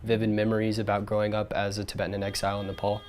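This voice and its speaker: Young male student interviewer, low flat voice, talks fast and polite. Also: slight background noise. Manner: polite, uses fillers. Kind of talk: interview questions.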